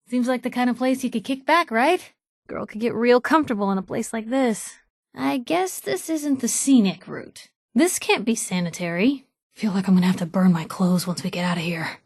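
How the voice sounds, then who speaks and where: An actress playing a feisty, wry young newspaper reporter in a video game, with an American accent and a conversational, sardonic delivery. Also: female voice, a little high, no echo, studio quality, close to an everyday voice. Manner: sardonic, conversational, quick-witted asides. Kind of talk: video game companion dialogue.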